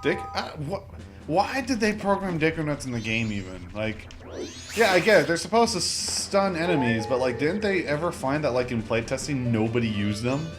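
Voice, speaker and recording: A man's voice: fairly high pitch, very animated, moderate pace. Rambling young man over game music. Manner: rambling, informal, mock-exasperated asides. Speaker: gamer, Let's Play host. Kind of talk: casual gameplay commentary. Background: video game music.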